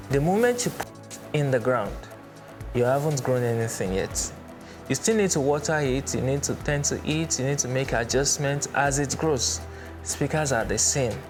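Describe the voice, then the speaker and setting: A man presenting an explainer in a measured, didactic way, stressing his points. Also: mid-range voice. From Nigeria. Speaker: presenter, church sound instructor. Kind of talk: explainer with everyday analogies. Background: background music.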